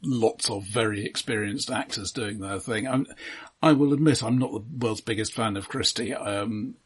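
A man with a husky, slightly low voice talks as a podcast co-host. His delivery is casual but analytical, laying out an argument point by point. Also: normal speed. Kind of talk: casual analytical film discussion.

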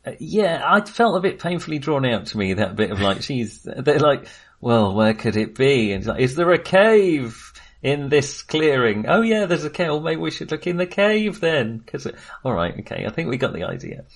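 Guy on a film podcast, chatty and a bit unsure of himself, self-deprecating, medium pitch. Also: very animated, moderate pace. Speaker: podcast co-host reviewing films. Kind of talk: casual film discussion, recapping a plot.

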